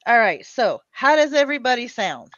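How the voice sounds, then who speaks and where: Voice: mid-range voice, very animated, normal speed, a bit bright, no echo. A stream host chatting casually over a voice call, flustered and sweary. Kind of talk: casual chat. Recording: dull, lo-fi voice chat.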